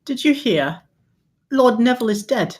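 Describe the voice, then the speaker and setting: A woman's voice: on the high side, expressive, normal speed, natural voice. A woman playing soldiers and officers in a table read of a fantasy war script. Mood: gossipy.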